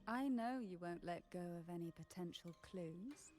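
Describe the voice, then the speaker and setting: A woman's voice: on the low side, expressive, normal speed, a little mellow, natural voice. An actress playing an enigmatic, alluring woman in a video game, speaking with teasing, theatrical elegance. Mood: playful, seductive. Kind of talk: scripted video game dialogue. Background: game music.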